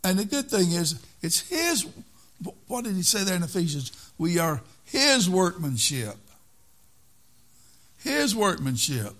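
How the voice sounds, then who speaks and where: A man's voice: sits high, sing-song, unhurried, long pauses, fairly bright tone. An older man preaching a sermon in an earnest way, repeating key phrases for emphasis.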